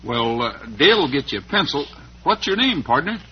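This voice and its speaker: An actor playing an easygoing, good-natured cowboy hero with a folksy, wry manner in an old-time western radio drama. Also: male voice, on the high side, sing-song, brisk. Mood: genial, wry. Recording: muffled old radio recording.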